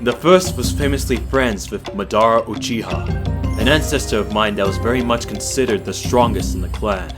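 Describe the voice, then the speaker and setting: A man acting a proud, guarded, bitter clan warrior in an anime-style audio drama, speaking in a grave, measured, formal way. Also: lowish voice, close to an everyday voice. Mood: guarded, reflective. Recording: dramatic music behind the voice.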